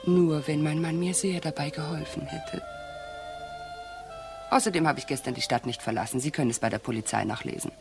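An actress playing a cool, mocking widow with a self-possessed, provocative manner in a radio crime drama. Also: female voice, sits low, fairly quick, gravelly, music in the background. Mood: sarcastic. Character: cool, scornful, provocative widow of a murdered man.

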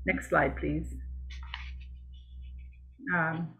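A woman from Canada giving a slide presentation on beekeeping over a video call, talking in a halting, conversational way with many fillers and restarts. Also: low-pitched, steady tone, vocal fry, bright, forceful tone. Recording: narrow tinny video-call audio.

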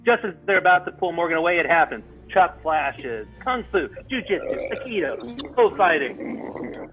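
A man's voice: high-pitched, expressive, normal speed, narrow, tinny audio, soft background music. A man narrating stage directions at a comedy table read, informal, with stumbles and ad-libs.

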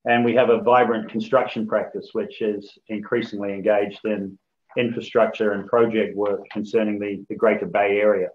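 A man from New Zealand, head of a law firm office, giving a measured, formal business presentation over a video call with frequent ums. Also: fairly low pitch, flat delivery, muffled recording.

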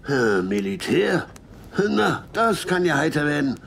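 Gravelly, blustering police constable. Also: male voice, fairly high pitch, big pitch swings, a little slow, slight background noise, exaggerated voice. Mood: blustering, indignant. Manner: stammering, repeats himself, pompous catchphrase. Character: flustered, blustering male police constable, easily outraged. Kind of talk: German audio drama acting.

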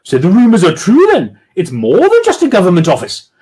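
A snooty upper-class lady with a shrill, squawking voice, as a man puts her on. She stretches her words out grandly and talks down to people like a schoolmistress, her pitch leaping about wildly. Her tone is husky and breathy. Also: huge pitch swings, mellow, exaggerated voice. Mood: indignant. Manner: haughty, drawn-out, schoolmistress-like. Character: haughty posh middle-aged woman, squawking and aloof. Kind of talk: storybook reading.

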